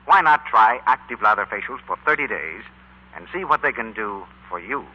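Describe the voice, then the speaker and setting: A man working as a radio announcer, reading a scripted 1940s sales pitch. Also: lively intonation, moderate pace. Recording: dull old 1940s radio broadcast.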